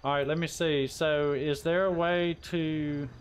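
A man with a slightly high voice streams a game in loose, informal talk. He swears freely and throws in folksy exclamations. Faint noise with chirping insects sits behind him. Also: steady tone, a little slow. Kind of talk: casual gaming livestream chat, swearing.

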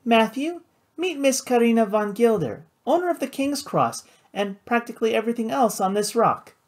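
A woman acts out a young socialite sister in an audiobook. Her voice sits high and swings widely in pitch. She is teasing, theatrical and defiant, with a worldly, taunting edge. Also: expressive, moderate pace. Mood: teasing, grandly introducing. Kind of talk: audiobook character dialogue.

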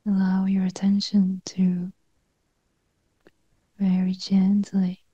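A woman leading a guided meditation in a gentle near-whisper, with short phrases and long pauses. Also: medium pitch, completely flat delivery, husky, dry recording, lo-fi sound.

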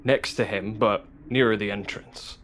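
An actor playing a sombre, reflective man in a halting audio drama monologue. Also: fairly low pitch, steady tone, a bit bright, a little hiss or hum. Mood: sombre, haunted. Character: sombre, reflective man keeping watch beside a body.

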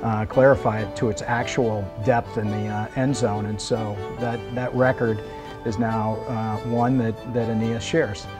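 A man's voice: fairly low pitch, level tone, unhurried. A middle-aged man speaking in a documentary interview about his sports organization, like an executive. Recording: music bed under speech.

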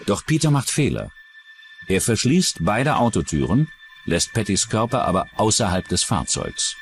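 A man's voice: on the low side, big pitch swings, moderate pace, a little hiss or hum. A man narrating a true-crime documentary from a script as its narrator.

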